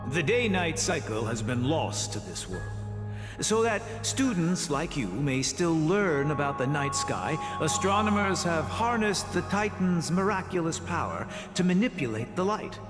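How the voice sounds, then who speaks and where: A man voicing a patient teacher in a video game, didactic and theatrical, gently admonishing. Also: a little high, very animated, measured pace. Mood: explaining, placating. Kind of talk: scripted video game voice acting. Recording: echoey hall reverb under music.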